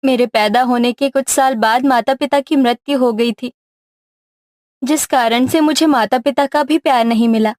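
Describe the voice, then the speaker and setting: Woman acting meek, high-voiced devoted sister. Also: steady tone, normal speed, darkish tone, no echo, close to an everyday voice. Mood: sad, earnest. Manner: plaintive, earnest. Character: meek, sorrowful, devoted dark-skinned younger sister.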